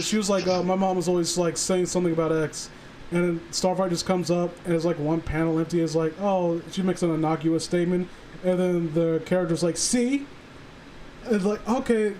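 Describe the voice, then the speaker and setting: A man chatting casually on a stream, halting and rambling as he earnestly works through his thoughts. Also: high voice, darkish tone, low-quality recording. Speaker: stream participant. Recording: faint background noise.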